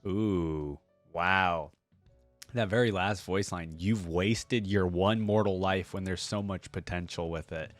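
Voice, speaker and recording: A man's voice: sits low, steady tone, slow, no room sound, faint music behind. A man hosting a reaction video, giving casual, rambling, musing commentary as a gaming fan.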